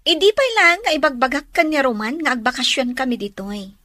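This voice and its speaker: A woman's voice: high-pitched, big pitch swings, brisk, pushed and bright, dry recording, studio quality, full character voice. A woman acting a well-off young city woman in love, eager and coaxing, in an Ilocano radio drama with a Filipino accent. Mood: friendly.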